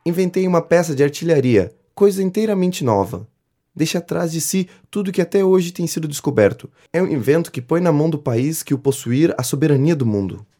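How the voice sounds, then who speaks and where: Man acting a pompous, flattering, mellow-voiced inventor. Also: fairly high pitch, brisk. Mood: proud, grandiose. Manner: grandiloquent, effusive, theatrically indignant. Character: pompous, flattering male inventor petitioning a politician for privilege. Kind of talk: audio drama acting of a 19th-century comedy.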